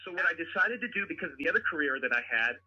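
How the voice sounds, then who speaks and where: A man's voice: a little high, animated, very fast, bright, forceful tone, slightly rough. A man defensively explaining himself as he is questioned on a phone call. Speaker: self-described private investigator being questioned.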